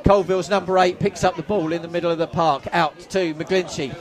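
A man giving live play-by-play football commentary outdoors, excitable and losing his voice. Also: high-pitched, brisk. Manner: excitable, shouts at goals, self-mocking. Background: crowd noise.

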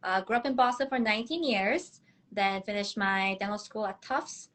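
A woman's voice: mid-range voice, normal speed, a bit bright. A young woman from South Korea, a dentist, answering interview questions in a candid, bubbly way and laughing often. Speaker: podcast guest, dentist.

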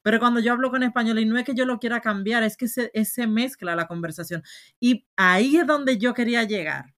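A woman's voice: on the high side, fairly quick, a bit bright. A woman from Spain with a Spanish accent mixed with Dominican speech, co-hosting a casual podcast chat and telling stories with animation. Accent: Spanish with Dominican mix. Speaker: podcast co-host.

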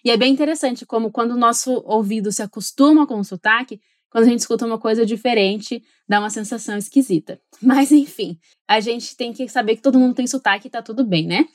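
A Brazilian woman hosting a podcast lesson, explaining clearly and switching between languages. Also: on the high side, no room sound, studio quality. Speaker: podcast host and English teacher.

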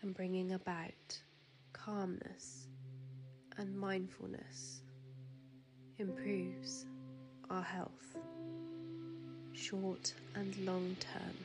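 A woman guiding a sleep meditation in a soothing, hushed manner, with soft breathy phrase endings and drawn-out pauses. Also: on the low side, completely flat delivery. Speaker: meditation guide. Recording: piano music and waves behind. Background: relaxing piano music and ocean waves.

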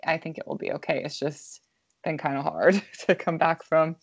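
A hoarse woman co-hosting a podcast, chatting casually with lots of "like" and anxious rambling. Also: low-pitched, moderate pace. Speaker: podcast co-host. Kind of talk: casual chat.

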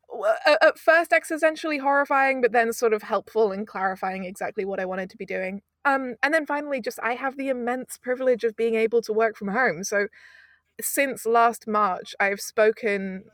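A woman working as an audio producer, chatting as an interview guest in a lively way with frequent restarts. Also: medium pitch, no echo. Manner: animated, fast-talking with frequent restarts. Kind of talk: casual interview chat.

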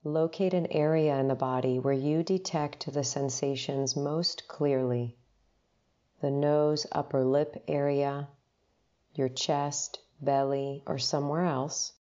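A woman with a notably low voice leads a guided meditation. She speaks very slowly and gently, in short instructional phrases with long pauses between them. The sound is a little dull and lo-fi. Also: fairly even intonation.

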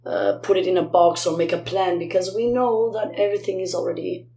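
Lower-voiced woman in an echoey room. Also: fast talker, vocal fry, dark tone. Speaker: guest singer. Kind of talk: reflective interview conversation. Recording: roomy echo, faint background noise.

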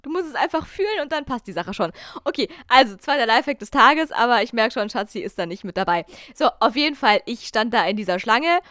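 A young German woman hosting a podcast, telling a travel story in a chatty, animated, self-mocking way. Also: on the high side, fast talker, hardly any pauses, no echo, studio quality. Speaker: podcast host. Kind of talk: casual storytelling chat.

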